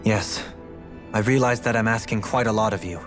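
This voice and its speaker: An actor playing a formal, solemn young former lord in a video game, speaking in a measured, courteous way. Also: male voice, on the low side, steady tone, close to an everyday voice. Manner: formal, measured, tense when asking favors. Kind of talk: dramatic video game cutscene acting. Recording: music playing behind the voice.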